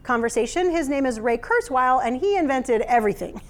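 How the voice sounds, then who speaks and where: High-voiced American woman in her thirties, lively speaker addressing a room. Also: moderate pace. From United States. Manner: animated, lively, laughs while making points. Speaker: keynote speaker. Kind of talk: live talk to an audience. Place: workspace event room.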